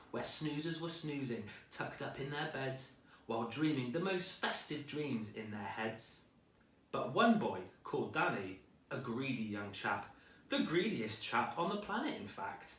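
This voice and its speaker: Animated male storyteller in an echoey room. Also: mid-range voice, slight background noise. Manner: dramatic, rhythmic delivery of rhyming verse. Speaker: teacher reading aloud. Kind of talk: expressive children's storybook reading. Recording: echoey room, distant microphone.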